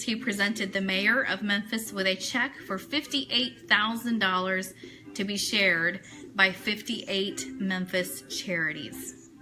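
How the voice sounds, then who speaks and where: A woman's voice: mid-range voice, pushed and bright, low-quality recording. An American woman hosting an online radio show live, chatting with viewers and reading items aloud in a chatty manner. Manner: chatty, greets viewers by name. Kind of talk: live-stream chat mixed with reading aloud.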